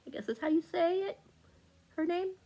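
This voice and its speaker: A woman's voice: very high-pitched, lively intonation, moderate pace, warm tone, lo-fi sound. Woman, book vlogger, casual chat, rambling, fillers, phone recording. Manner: rambling, many fillers and restarts.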